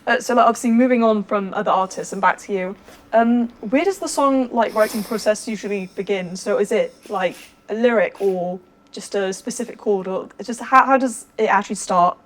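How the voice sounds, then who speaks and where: A woman's voice: mid-range voice, moderate pace. A woman interviewing in a casual, informal and slightly hesitant way. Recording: ambient room noise behind.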